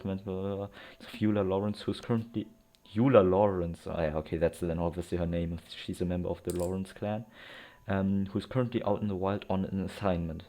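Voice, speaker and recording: A man's voice: low voice, dark tone. A man giving casual, rambling gameplay commentary with a sardonic manner. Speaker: let's player. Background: game music.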